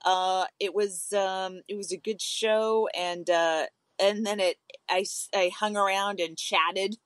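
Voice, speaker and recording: A woman's voice: medium pitch, steady tone, slow, no echo. A woman comic co-hosting a podcast, talking in an easygoing, wry way with many filler words. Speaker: podcast co-host, stand-up comic. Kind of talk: casual chat.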